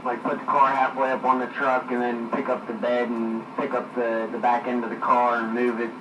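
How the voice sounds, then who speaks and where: Tow driver, flat rambling talk, distant echoey CB radio with static. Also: male voice, medium pitch, moderate pace, highs cut off. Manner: rambling storyteller, many fillers. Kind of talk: casual CB radio chat. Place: parked near a coffee shop. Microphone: CB radio handset.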